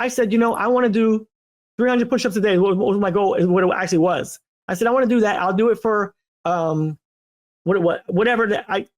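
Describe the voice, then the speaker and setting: A man with a notably high voice hosts a podcast in loose, casual conversation. He rambles and repeats himself, and his stories come out in eager, stop-start bursts. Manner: animated, rambling, repeats words. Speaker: podcast host. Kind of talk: casual chat.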